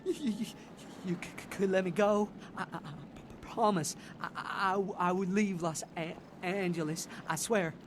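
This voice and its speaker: A man voicing a meek, frightened young male vampire in a video game, stuttering tearfully and pleading in halting apologies. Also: high-pitched, noisy background, close to an everyday voice. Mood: desperate pleading. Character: terrified, meek, stammering young male vampire begging for mercy.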